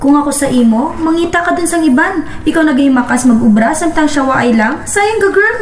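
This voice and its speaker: A woman's voice: high-pitched, lively intonation, fairly quick. A woman playing a gossipy, frank young best friend in an amateur radio drama, chatty and blunt, with a Western Visayan Filipino accent. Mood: frank, advising. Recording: roomy echo, faint background noise.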